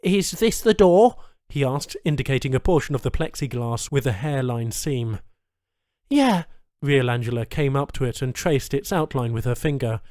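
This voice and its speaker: A man's voice: mid-range voice, steady tone, a little fast, few pauses, darkish tone, no room sound, clean studio sound. A man reading a science fiction short story as a scripted audiobook narration.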